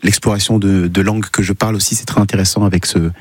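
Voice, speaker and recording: A man whose first language is Portuguese talks quickly and a little low as a musician guest on the radio. He sounds thoughtful, and he hunts for words with plenty of fillers. The studio sound is close and dry. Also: flat delivery, darkish tone, husky, no echo. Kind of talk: radio interview.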